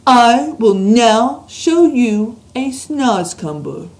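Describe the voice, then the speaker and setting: A woman voicing a huge, very old male giant who speaks slowly and grandly, mangling his words. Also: a little high, animated, voice pushed up, exaggerated voice.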